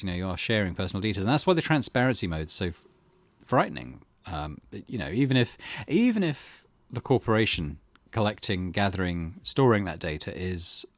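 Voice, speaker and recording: A man's voice: lowish voice, dry recording. A man hosting a let's play, reading game text aloud and commenting on it in a thoughtful, musing way.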